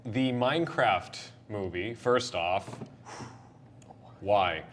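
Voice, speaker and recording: A man's voice: on the low side, drawn-out words, a bit bright, slight background noise. A man hosting a casual, opinionated panel chat, emphatic and jokey, with playful exasperation. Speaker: host of a video game and movie discussion show.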